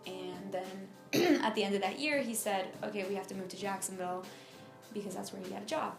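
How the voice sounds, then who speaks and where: A woman's voice: mid-range voice, creaky. Young woman, roomy sound over music. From United States (Miami, Florida). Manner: informal, halting, frequent "like" and "you know". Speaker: interviewee. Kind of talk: personal story in an interview.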